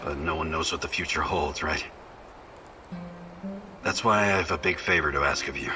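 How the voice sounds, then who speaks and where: An actor playing a gruff, loyal male mercenary in a video game, speaking in an earnest, plain-spoken, sombre way. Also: a bass voice, normal speed, music in the background. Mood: sombre, sincere. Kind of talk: video game character acting.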